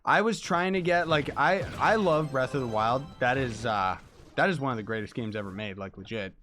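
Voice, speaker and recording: A young man talks casually to his stream viewers as he plays, his mid-range voice hoarse and fraying from illness. He rambles in a relaxed, excitable way, full of "like" and "dude." Faint game music plays underneath. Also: medium pitch, normal speed. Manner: chatty, excitable, laid-back, heavy use of "like" and "dude". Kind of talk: casual livestream chat while gaming.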